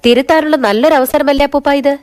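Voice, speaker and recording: Brief: a woman voicing an affectionate young granddaughter, high voice. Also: steady tone, quick pace, darkish tone, natural voice. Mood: pleading. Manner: pleading, affectionate. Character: young granddaughter, gentle and persuasive. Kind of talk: radio drama acting.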